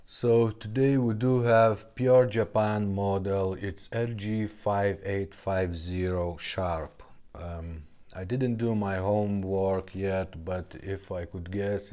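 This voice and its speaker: Slow-spoken, low-voiced man demonstrating gear. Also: warm tone. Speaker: vintage car radio repairer presenting a demo. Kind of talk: casual product demonstration.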